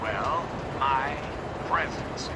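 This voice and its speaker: Pompous, animated radio president. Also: male voice, mid-range voice, slow. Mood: pompous, assured. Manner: pompous, theatrical, grandiose. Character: pompous folksy president giving propaganda radio addresses. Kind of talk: stately political radio address. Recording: noisy radio playing in outdoor ambience.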